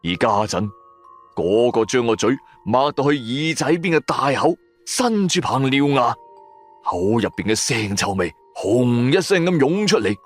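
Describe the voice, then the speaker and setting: A male storyteller delivers a supernatural radio serial. His intonation rises and falls dramatically, with suspenseful, emphatic stresses. Soft music plays underneath. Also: medium pitch, sing-song, unhurried. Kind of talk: dramatic first-person horror storytelling.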